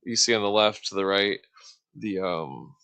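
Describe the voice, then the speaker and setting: A man giving a casual, rambling explanation as host of an online discussion, halting and searching for words as he loses his thread. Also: fairly low pitch, creaky, bright, forceful tone, clear, resonant tone. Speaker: host explaining esoteric symbols.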